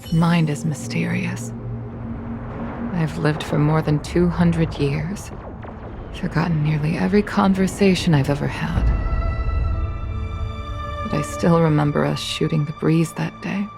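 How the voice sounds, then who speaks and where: A woman voicing a solemn, regretful Foxian veteran pilot in a video game, speaking in a measured, reflective way. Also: low-pitched, fairly even intonation, gravelly. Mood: solemn, wistful. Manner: slow, measured, reflective delivery. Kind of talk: English game dub, dramatic monologue. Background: music.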